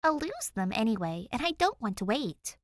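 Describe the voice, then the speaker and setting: A headstrong young girl , acted by a woman reader in an audio story. She talks breezily and insistently, higher than plain narration, in a dry close recording. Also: very animated, moderate pace, dry recording. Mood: stubborn. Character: headstrong, breezy young girl adventurer, stubbornly self-assured.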